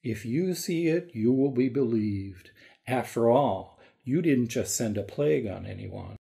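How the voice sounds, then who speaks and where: A man playing a solemn, caring divine king of ancient Egypt in an audio drama, speaking in a measured, formal, regal way. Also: mid-range voice, lively intonation, vocal fry, warm tone. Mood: solemn, probing. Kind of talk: dramatised audio story reading.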